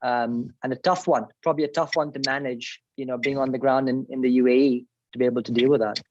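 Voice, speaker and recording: A man's voice: mid-range voice, fairly even intonation, fast, dull audio, a little hiss or hum. A man hosting an interview, enthusiastic and full of praise, with frequent ums. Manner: enthusiastic, effusive praise with fillers. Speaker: podcast host. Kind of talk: interview host chat.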